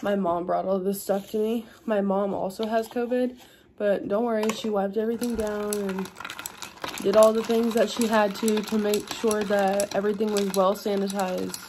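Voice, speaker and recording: A young woman, hoarse and congested from being sick, chatting tiredly in a home vlog. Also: fairly even intonation, normal speed, noisy background. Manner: tired, low-energy, chatty. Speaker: vlogger. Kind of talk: casual vlog chat. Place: at home indoors.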